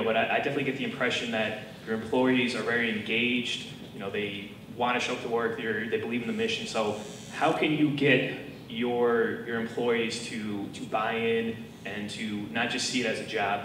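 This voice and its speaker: Man, interviewer, quick friendly questions, far mic, heavy room echo, clear background hum. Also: mid-range voice, fairly even intonation. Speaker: interviewer, event host. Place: event hall. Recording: echoey noisy hall from far off.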